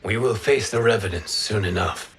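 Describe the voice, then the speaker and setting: An actor playing an earnest, noble warrior in a fantasy video game, with formal, dramatic delivery. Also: male voice, on the low side, normal speed, slight background noise, lightly acted. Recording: loud cinematic music behind.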